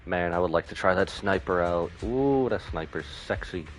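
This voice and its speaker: A man's voice: low-pitched, animated, normal speed. A man giving casual, slangy live commentary as he streams a game, often muttering asides to himself. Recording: loud game music behind.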